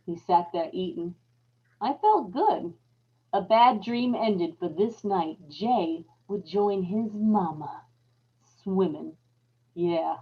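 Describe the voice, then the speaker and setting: A woman's voice: mid-range voice, slightly rough. A woman playing a guilty, unhinged wife giving an intense, dramatic confession in an old-time radio horror play. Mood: gloating, sinister. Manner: intense, emphatic, repetitive storytelling with dramatic pauses. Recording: dull lo-fi live radio-play audio.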